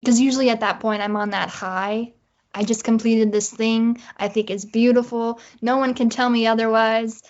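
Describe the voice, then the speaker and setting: A woman's voice: on the high side, fairly even intonation, moderate pace, a little mellow. A woman chatting casually as an interview guest, self-mocking and acting out her own thoughts as little quotes. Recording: dull, lo-fi audio.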